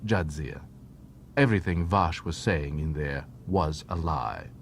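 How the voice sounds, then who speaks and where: A man's voice: animated, measured pace, vocal fry, exaggerated voice. A man voicing an eager young doctor who lectures pedantically and with animation in an audiobook. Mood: confiding. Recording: noisy track with low rumble.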